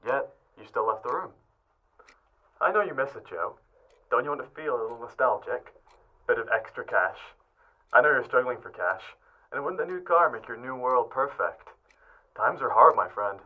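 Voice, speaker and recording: A man acting in a radio drama as a taunting, persuasive criminal ringleader with a husky voice and theatrical delivery. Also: medium pitch, lively intonation, normal speed, long pauses, phone-quality sound, natural voice. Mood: tempting, taunting. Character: smooth-talking, menacing male criminal ringleader, needling and bossy.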